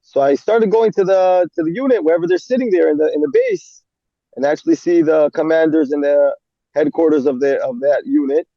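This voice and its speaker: Brief: young man, gravelly, halting with fillers, dull dry recording. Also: on the high side, expressive, mellow, no room sound. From Israel. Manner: halting, many fillers and restarts. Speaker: army rabbi. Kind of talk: interview guest.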